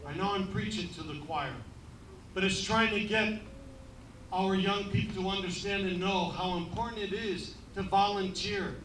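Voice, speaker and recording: Man, event emcee, joking, halting speech, distant mic, faint background noise. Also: high voice, a bit bright. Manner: joking, self-deprecating, halting with many fillers. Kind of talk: ceremony speech with jokes. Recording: echoey room, voice from far off.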